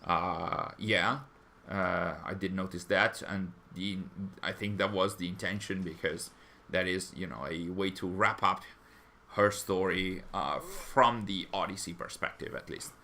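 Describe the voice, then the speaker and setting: Stream host, male, lowish voice with a bright, forceful edge, rambling and hesitant. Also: a little slow, a little hiss or hum. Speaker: fan channel stream host. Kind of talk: live stream chat, reading viewer comments.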